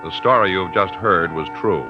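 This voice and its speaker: A man's voice: low-pitched, moderate pace. A man giving formal closing announcements for a 1950s radio show. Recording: dull lo-fi old radio with music.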